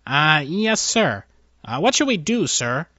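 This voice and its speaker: A man's voice: a little high, sing-song, slow pace, bright, forceful tone, no echo, highs cut off, exaggerated voice. A man voicing a nervous, deferential alien chief technologist in a science fiction audio story, speaking hesitantly.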